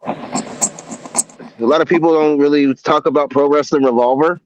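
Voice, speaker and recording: A man's voice: fairly high pitch, fairly even intonation, measured pace, a little hiss or hum, low-quality recording. A man in his forties, a guest in a casual interview, who talks in a laid-back way with stammering restarts. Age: 40s.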